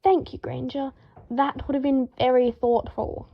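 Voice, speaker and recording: Audiobook role: female reader as indignant, dramatic young male wizard, high voice, prone to seething; slightly muffled home recording. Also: little pitch movement, unhurried. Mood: stiff. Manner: seething, hysterical outbursts, stiffly formal when restrained. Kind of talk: audiobook character voice.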